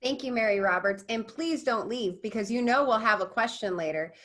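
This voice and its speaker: A woman's voice: fairly high pitch, brisk, fairly bright tone. A woman moderating a panel informally, with an effusive, complimentary manner.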